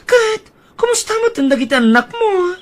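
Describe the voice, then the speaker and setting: A woman voicing a lively, teasing female friend in an audio drama, full of exclamations. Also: very high voice, normal speed. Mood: alarmed.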